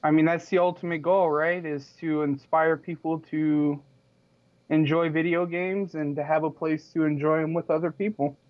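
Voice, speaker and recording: A man's voice: a little high, fairly even intonation, normal speed, muffled recording. Curious man in his late twenties. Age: 20s. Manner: curious, asks questions, hesitant restarts. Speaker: stream co-host. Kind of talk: casual chat over voice call.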